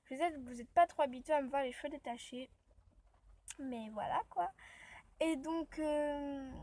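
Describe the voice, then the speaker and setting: A high-pitched woman talks casually to her viewers from inside a car, her throat sore from a cold. She rambles and chats loosely, story after story. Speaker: vlogger. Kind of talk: casual vlog chat. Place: inside a parked car, rain outside.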